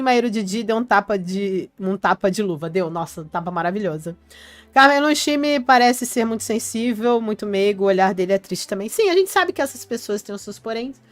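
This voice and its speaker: A woman's voice: mid-range voice, no room sound. A woman hosting a casual live stream, reading viewers' comments aloud and answering them in a chatty way.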